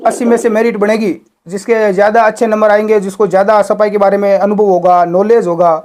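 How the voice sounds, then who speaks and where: A man's voice: sits high, little pitch movement, fairly quick, a little mellow. A man talking on a phone call, explaining and questioning, repeating himself for emphasis. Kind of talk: phone conversation.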